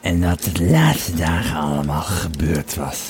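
A man playing a Roman legionary who grimly recounts camp intrigue in an audio drama, deliberate and often hushed. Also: deep voice, very animated. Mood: brooding. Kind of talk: first-person audio drama storytelling.

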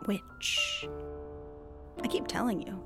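An actress playing a shrewd, insistent soothsayer of Puritan times in an audio drama, articulate and wryly needling. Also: female voice, slow, music in the background, lightly acted. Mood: probing, sly. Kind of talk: period audio drama acting.

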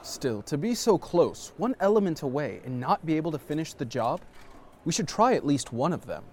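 A man's voice: fairly high pitch, sing-song, normal speed, darkish tone, a little hiss or hum. A man playing an earnest, pompous swordsman in a fantasy audio drama, speaking formally.

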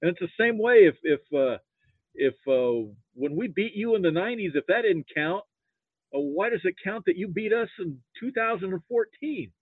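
An American man from Nebraska chatting casually as a sports podcast guest, speaking haltingly over a narrow line. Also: high voice, animated, husky, no echo. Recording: muffled, narrow phone-like line.

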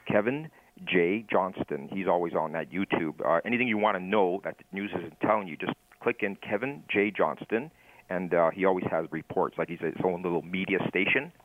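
A man's voice: low-pitched, fairly quick, no room sound. A man giving his opinions casually as a caller on a phone line. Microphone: telephone. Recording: dull, narrow phone line.

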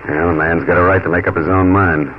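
An actor playing a loyal, fond Old West friend with a folksy, heartfelt delivery in 1940s radio style. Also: male voice, sits low, fairly even intonation, fast, close to an everyday voice. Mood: fond farewell. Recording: muffled, noisy 1940s radio broadcast.

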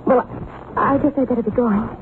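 A woman's voice: fairly high pitch, fairly even intonation, brisk, natural voice. An actress playing an eager, tender-hearted young girl, earnest and emotional, in an old-time radio drama. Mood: shy, unsure. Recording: narrow, noisy vintage radio recording.

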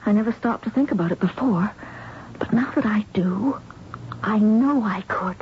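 A woman acting as a brave, anxious young heroine in a vintage radio mystery. Her medium-pitched voice is earnest and dramatic, on a dull recording with faint hiss. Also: moderate pace, close to an everyday voice. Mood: urgent, hopeful.

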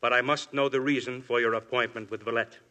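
A man's voice: medium pitch, fairly even intonation, quick pace, bright, forceful tone, close to an everyday voice. A man playing a police inspector in a 1950s radio drama, polite but relentless in his questioning. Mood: probing. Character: persistent male police inspector. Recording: old radio broadcast.

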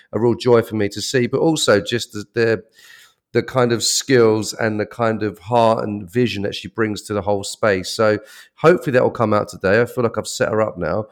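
A man hosts a podcast and introduces his guest with eager, halting speech, often repeating words before he finds the next phrase. His voice sits fairly low for a man. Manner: enthusiastic, hesitant with repeated words. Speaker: podcast host.

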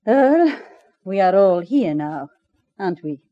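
An actress playing a cold, cruel female gangster, formal, deliberate and threatening, in a 1950s radio crime drama. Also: mid-range voice, very animated, highs cut off. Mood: icy threat.

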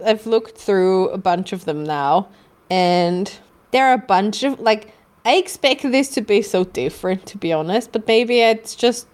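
A woman's voice: mid-range voice, darkish tone. Rambling Austrian-accented woman host. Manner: rambling, frequent restarts and swearing. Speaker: podcast co-host. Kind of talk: casual chat.

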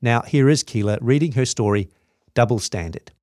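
A man's voice: mid-range voice, moderate pace, a little mellow, no room sound, clean studio sound. A man hosting a podcast, reading a scripted introduction. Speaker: podcast host.

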